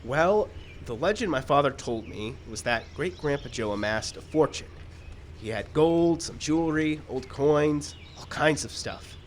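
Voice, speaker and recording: A man's voice: fairly high pitch, expressive, measured pace. A man playing a fussy, suspicious, aggrieved screenwriter in a live radio-style comedy, plaintive and literal-minded. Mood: storytelling. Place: outdoor park stage. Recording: outdoor live show with background noise. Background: birds and outdoor ambience.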